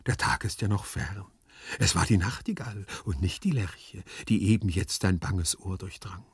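A man's voice: deep voice, moderate pace, breathy edge, no echo, clean studio sound. A man voicing a corpulent, lovesick storybook nobleman who sighs and declaims with theatrical sentimentality. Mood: yearning. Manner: pathetic declamation, sighing, swooning.

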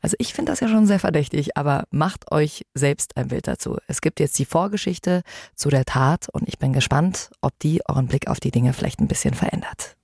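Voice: medium pitch, expressive, fairly quick, creaky, a little mellow, husky, no room sound, clean studio sound. A podcast host moderating a discussion in a conversational style.

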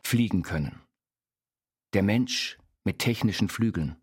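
An actor playing an earnest, visionary self-taught inventor, reciting philosophical writings in a literary radio play. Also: male voice, on the low side, expressive, a little slow, breathy edge. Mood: earnest, instructive. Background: music.

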